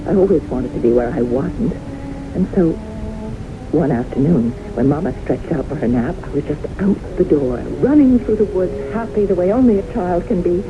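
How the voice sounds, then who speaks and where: Casting: female lead as solemn haunted widow, deep for a woman, deliberate, earnest radio-drama storytelling. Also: expressive, lightly acted. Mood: reminiscing. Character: haunted widow solemnly recounting her brushes with death. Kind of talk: old-time radio drama acting, storytelling. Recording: dull, hissy old radio broadcast.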